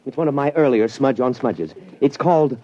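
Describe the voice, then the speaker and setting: An actor playing a cocky, eager, self-satisfied bohemian painter with brash patter in a 1950s radio drama. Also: male voice, medium pitch, lively intonation, normal speed, warm tone, close to an everyday voice. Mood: boastful, pushy. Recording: muffled, noisy 1950s radio recording.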